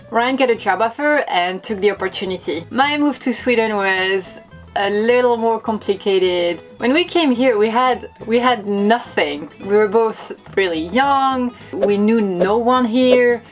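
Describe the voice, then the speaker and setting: Chatty French woman vlogger. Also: medium pitch. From France. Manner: playful, chatty, self-mocking. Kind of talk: casual vlog narration.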